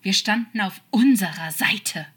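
Frau spielt einen gekränkten, trotzigen Dämon, hell und scharf. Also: female voice, lowish voice, lively intonation, normal speed, bright, forceful tone, breathy edge, exaggerated voice. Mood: bitter, hissing. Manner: hissing, muttering, urgent pleading. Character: wounded, defiant male demon, taunting and pleading.

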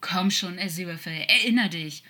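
A woman's voice: on the low side, brisk, sharp tone. A woman voicing a wounded, defiant male demon from a story, hissing, muttering and pleading urgently. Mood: taunting, pleading.

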